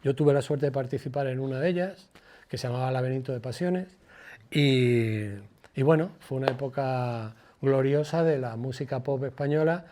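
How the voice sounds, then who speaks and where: An older man with a Spanish accent from Spain gives an informal lecture, colloquial and digressive, halting and repeating words as he goes. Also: mid-range voice, dark tone, a little hiss or hum. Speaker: guest lecturer, former radio presenter. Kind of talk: informal public lecture with personal anecdotes.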